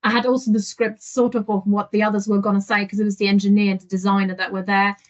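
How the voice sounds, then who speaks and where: A woman guest on a podcast interview, telling her stories in a lively way and often laughing. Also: mid-range voice, fast talker. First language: not French. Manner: animated storyteller, laughs often. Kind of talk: casual interview. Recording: dull lo-fi audio.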